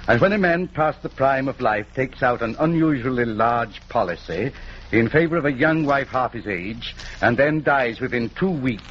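An actor playing a polite, measured, businesslike insurance man in a 1940s radio drama. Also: mid-range voice, lively intonation, natural voice. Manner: measured, formal. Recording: muffled, noisy 1940s radio recording.

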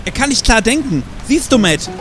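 A brazen, scheming cop in an audio drama, played by a man, casual and insistent. The voice is high for a man and very lively in pitch, with music and rain behind it. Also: moderate pace. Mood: brazen, commanding. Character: brazen, bored, scheming male police detective, the partner. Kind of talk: German audio drama acting.

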